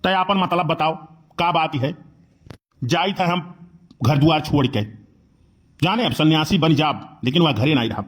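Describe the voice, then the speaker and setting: A man's voice: a little high, moderate pace, long pauses, fairly bright tone. A man voicing a grumpy henpecked village uncle in a comedy cartoon, complaining and blustering with an Awadhi accent. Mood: aggrieved, defiant. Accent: Awadhi, eastern Uttar Pradesh.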